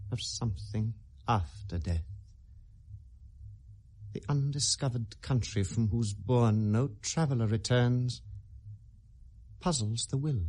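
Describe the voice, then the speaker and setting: A man's voice: medium pitch, darkish tone, slight background noise, natural voice. Man playing brooding young prince, British accent, bitter, volatile, theatrical verse delivery, classical radio drama. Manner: theatrical, deliberate verse delivery swinging to outbursts.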